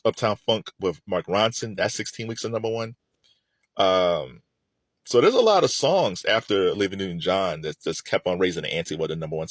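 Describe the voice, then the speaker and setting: A middle-aged man hosts casual music commentary in a low voice that swings widely in pitch. He talks conversationally and restates his points for emphasis. The sound is close and dry. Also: no room sound. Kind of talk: casual opinionated solo commentary.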